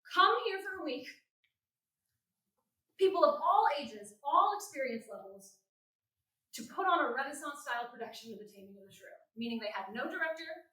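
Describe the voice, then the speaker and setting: High, bright-voiced woman welcoming an audience. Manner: emphatic, enthusiastic. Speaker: education programs manager introducing a show. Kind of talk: live welcome speech to an audience. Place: theatre stage before an audience. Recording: echoey theatre, distant microphone.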